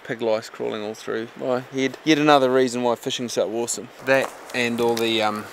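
A man hosting a fishing show outdoors, joking and laughing in a cheeky, excitable way. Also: medium pitch, normal speed, slight background noise. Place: outdoors in bush and hills. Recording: outdoor clip with loud music over it.

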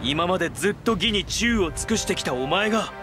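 A man acting an easygoing but earnest young army commander in a Japanese video game, with casual, rough-edged speech. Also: sits high, expressive, a little fast. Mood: resolute. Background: background music.

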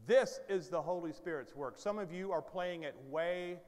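A pastor in his fifties preaches with a headset mic in a big sanctuary, his fairly high voice trailing a long reverb. He talks like a friend telling stories, then presses hard with urgent exhortation while pacing the stage. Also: normal speed, darkish tone. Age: 50s. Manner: conversational, rising to urgent exhortation; paces the stage gesturing. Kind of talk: sermon. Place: large church stage with hall reverb. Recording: hall reverb from large sanctuary.

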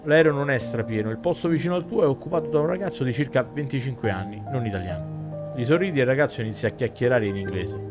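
Male game streamer, thirties, theatrical narrative reading, mid pitch, clear musical backing. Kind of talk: dramatic reading aloud of a visual novel with asides to chat.

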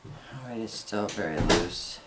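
A middle-aged woman chatting casually through a craft demonstration, low-key and half muttering to herself, recorded in a noisy room at home. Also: lively intonation, a little slow. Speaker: soap maker and host. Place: indoors at a home work table. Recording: noisy room, household background noise.

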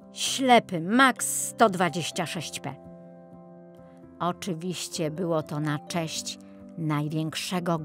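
A woman narrating a children's audiobook as an expressive storyteller. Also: mid-range voice.

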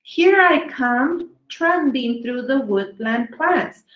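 A woman with a fairly high voice reads a children's picture book aloud. She takes it very slowly, stretching words out for young listeners. The recording is clearly echoey, as in a small bare room. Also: animated, dull audio, faint music behind. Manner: slow, deliberate delivery with drawn-out words for young listeners. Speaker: children's story reader. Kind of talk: read-aloud of a children's dinosaur poem book.

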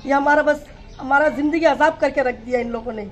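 A woman's voice: high voice, a little fast. A woman in her fifties, a local resident, interviewed in the street, complaining in a distressed, pleading way. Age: 50s. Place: street outdoors.

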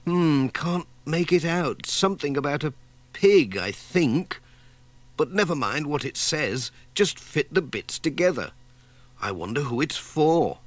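A man's voice: fairly high pitch, expressive, unhurried, no room sound, voice pushed up. A man voicing a sensible, motherly, mildly fussing village woman in a children's storybook.

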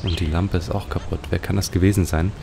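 A man's voice: low voice, steady tone, quick pace. A man commenting casually on a video game, musing aloud as he describes what he sees. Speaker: let's play host. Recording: clearly noisy home recording.

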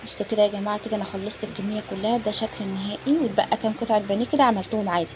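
A woman's voice: mid-range voice, steady tone, racing. A woman with an Egyptian accent casually narrating a home cooking tutorial, chatting informally and directly to her viewers. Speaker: home cook presenting a recipe. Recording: phone recording with clear background noise.

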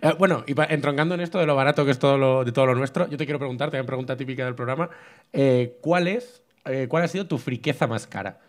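A man in his thirties co-hosting a casual podcast, quick and jokey. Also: mid-range voice. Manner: quick, jokey, laughs often. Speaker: podcast co-host. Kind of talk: casual chat. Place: small studio bar set, indoors.

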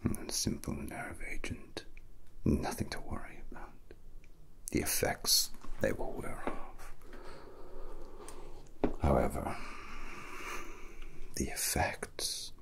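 A young man playing a menacing, grandiose villain scientist in an ASMR roleplay, whispering breathily and theatrically with deliberate, threatening pauses close to the mic. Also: fairly bright tone. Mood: menacing, mocking.

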